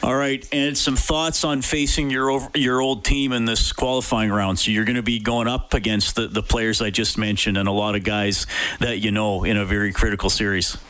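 A man's voice: on the low side, little pitch movement, moderate pace, fairly bright tone, clear, resonant tone, rough recording. A man hosting a sports radio show, putting questions to guests and presenting segments.

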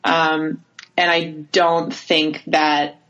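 A female sports reporter with a fairly low voice, heard over a slightly muffled, lo-fi connection. She gives casual analysis as a guest, pausing and restarting as she thinks her way through each point. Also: flat delivery, fairly bright tone.

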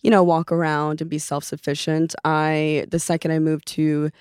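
A young adult woman from Norway, a native Norwegian speaker, chatting as a podcast guest in a relaxed, meandering way with frequent fillers. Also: sits low, normal speed, smooth, no room sound, clean studio sound. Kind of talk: casual chat.

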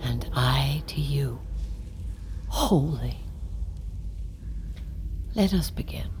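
An actress playing a solemn group leader in an audio drama, speaking in a measured, deliberate and ceremonial way. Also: female voice, rumbling low, animated, over a noisy crowd, exaggerated voice. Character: solemn woman leading an anti-technology support gathering.

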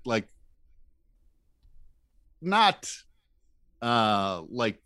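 A man's voice: mid-range voice, big pitch swings, very slow, clean tone, no room sound, clean studio sound. A man telling comic stories casually as a podcast guest, often laughing as he talks. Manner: laughs often while talking, acts out shouted quotes. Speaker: comedian guest on a podcast, works in elevators.

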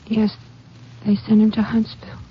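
A woman's voice: mid-range voice, monotone, moderate pace, natural voice. An actress playing a polite, fragile wife and mother, hesitant and emotional, in 1950s radio melodrama style. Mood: grief-stricken. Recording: muffled, noisy 1950s radio recording.

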